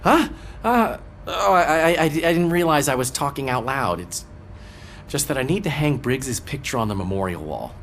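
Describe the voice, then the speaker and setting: An actor playing a weary, soft-hearted male police officer in a video game, hesitant and apologetic. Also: medium pitch, expressive, slight background noise. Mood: flustered. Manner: hesitant, halting.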